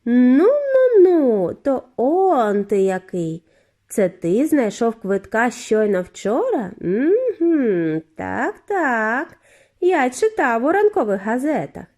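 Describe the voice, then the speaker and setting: A woman voicing a giddy, eccentric factory owner in a storybook reading, with a high, clear, flute-like voice and effusive, drawn-out greetings. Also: sing-song, dark tone, full character voice. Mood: gushing. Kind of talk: audiobook character voice.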